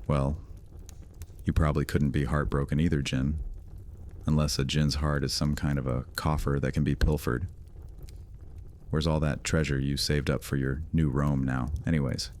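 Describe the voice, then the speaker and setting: A cocky vampire loner with a very deep voice, as a man acts him out. He talks casually and mocks everyone around him with dry sarcasm. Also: little pitch movement, normal speed, darkish tone. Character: cocky male vampire loner, sardonic and teasing. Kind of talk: fantasy audio drama acting.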